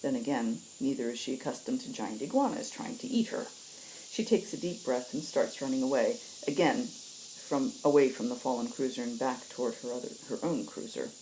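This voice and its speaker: A woman's voice: a bass voice, fairly quick, warm tone, a little hiss or hum, rough recording. A woman reading and hosting a story in the style of audiobook narration. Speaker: story reader and host.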